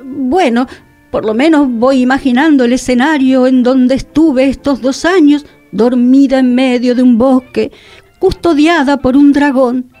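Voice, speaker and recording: A woman's voice: high voice, a little slow, dark tone, faint music behind. An Argentine woman acting an inquisitive, teasing and vain former queen in radio theatre. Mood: teasing, dreamy. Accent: Argentine, Rioplatense.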